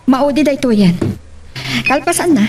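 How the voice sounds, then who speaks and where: Actress as a high, swooping, emotional wife. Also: female voice, expressive, normal speed, noisy background. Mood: troubled, reproachful. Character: emotional wife character in a love drama. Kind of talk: Ilocano radio drama acting.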